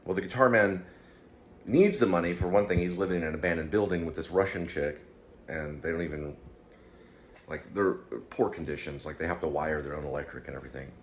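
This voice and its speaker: Deep-voiced man rambling casually. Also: brisk. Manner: rambling, hesitant, circles back and repeats himself. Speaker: YouTube show host and amateur writer. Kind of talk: casual rambling solo commentary. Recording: steady background room noise.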